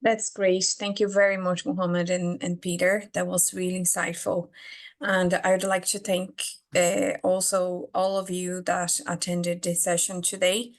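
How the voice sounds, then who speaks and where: A woman's voice: lowish voice, level tone, unhurried. A woman hosting a webinar, closing the session with polite, formal thanks over a video call. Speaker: webinar host. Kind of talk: closing remarks.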